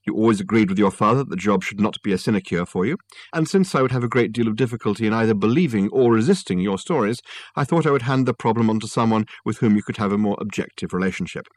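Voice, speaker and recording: Male reader as imperious society mother in a novel: brisk, sugary, condescending, unyielding, recorded close and dry. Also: lowish voice, expressive, no echo. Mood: reasonable, unyielding. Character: brisk, sweetly ruthless upper-class mother, magazine owner.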